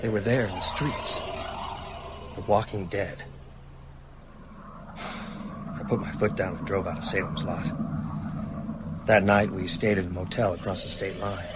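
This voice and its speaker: An actor playing a weary, haunted novelist in a radio drama, narrating in a sombre, measured, reflective way. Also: male voice, deep voice, steady tone, heavy background noise. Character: haunted, weary male novelist recounting vampire horror. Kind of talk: dramatic first-person narration.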